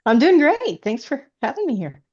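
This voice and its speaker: Halting woman interviewee on dull audio. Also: high-pitched, sing-song, darkish tone, breathy edge. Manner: halting, hesitant explanations. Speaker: guest author being interviewed. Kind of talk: casual interview chat. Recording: dull, band-limited audio.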